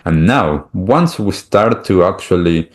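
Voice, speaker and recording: Low-pitched Argentinian man, reflective talk. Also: animated, takes it slowly. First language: Spanish. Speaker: podcast host. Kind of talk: reflective conversational interview talk.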